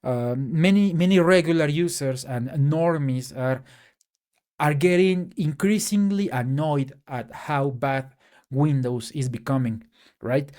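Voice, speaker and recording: Slow, halting Mexican-accented man. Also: on the high side, darkish tone. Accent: Mexican Spanish. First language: Spanish. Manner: hesitant, many fillers and restarts. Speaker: tech YouTuber. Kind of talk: casual solo rant to camera.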